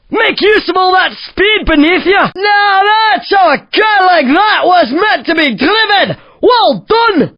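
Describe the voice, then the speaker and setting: A cheeky race announcer from a video game, pitched extremely high and swooping up and down. He is exuberant and theatrical, teasing and gushing at the driver. The sound is dry and a little dull. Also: no room sound, exaggerated voice. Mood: jaunty. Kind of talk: animated game commentary.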